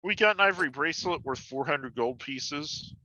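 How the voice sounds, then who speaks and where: A man's voice: on the high side, normal speed, a loud crowd. A man playing a tabletop game, chatting casually with the group. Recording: dull, dry lo-fi voice capture.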